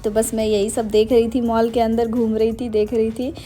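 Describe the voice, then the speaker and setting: A woman's voice: fairly high pitch, little pitch movement, normal speed, dark tone, soft background music. A young adult woman narrating a casual daily-life vlog, chatty and meandering, mixing English words into her Hindi. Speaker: vlogger.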